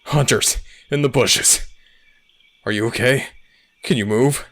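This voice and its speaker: A man playing a smug, flirtatious male werewolf alpha with a low gravelly voice, in a slow, teasing, theatrical romantic audio roleplay. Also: fairly bright tone. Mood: alarmed, urgent.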